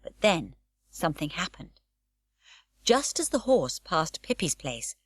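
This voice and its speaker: Expressive woman reading a children's story. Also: fairly high pitch, dry recording, studio quality. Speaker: audiobook narrator. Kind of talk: scripted storybook narration.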